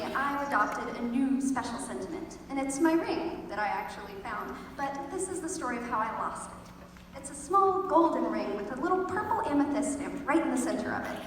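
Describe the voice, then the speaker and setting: Teen female theater student, high register, overstated comic storytelling, reverberant hall with faint noise. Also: fairly bright tone, distant mic. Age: teenager. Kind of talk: scripted personal storytelling monologue on stage. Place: large echoey indoor performance space. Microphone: distant camera microphone.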